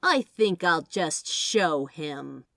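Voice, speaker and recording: A woman voicing a snide, menacing male angel who speaks with deliberate, dismissive contempt in a story reading. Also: sits low, animated, creaky, fairly bright tone, dry recording, full character voice.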